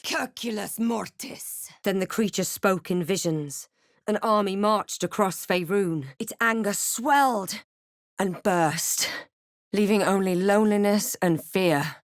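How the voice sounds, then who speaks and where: A woman voicing a snarky, proud female adventurer and spellcaster in a fantasy video game, with crisp, theatrical delivery. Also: mid-range voice, takes it slowly, no echo, clean studio sound. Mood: commanding incantation. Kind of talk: scripted game character lines and narration.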